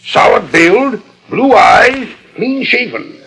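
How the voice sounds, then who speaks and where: A man's voice: very high-pitched, very animated, takes it slowly, sharp tone, exaggerated voice, low-quality recording. A man playing a bossy, hot-headed old-time sheriff with an American accent in a 1940s radio western, on an old disc recording. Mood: blustering, accusing. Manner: bossy, folksy, barking questions.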